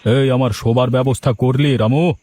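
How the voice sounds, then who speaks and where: A man's voice: medium pitch, steady tone, normal speed, dark tone, natural voice. A man voicing an imperious, impatient landlord in a cartoon, bossing people about. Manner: bossy, impatient. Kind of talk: cartoon dialogue.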